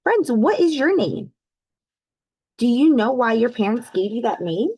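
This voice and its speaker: A woman hosting a children's read-aloud, telling a storybook expressively with drawn-out emphasis and putting teacherly questions to young listeners. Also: on the high side, breathy edge, no room sound, clean studio sound. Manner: teacherly, addresses listeners as friends; animated, exaggerated storytelling emphasis with drawn-out words.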